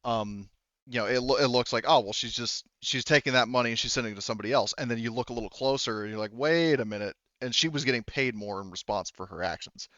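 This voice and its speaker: Male game master, average pitch, halting casual explainer who rewords as he goes, muffled lo-fi sound. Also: fairly bright tone, dry recording. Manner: halting, explanatory, often rephrasing. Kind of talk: casual tabletop game narration.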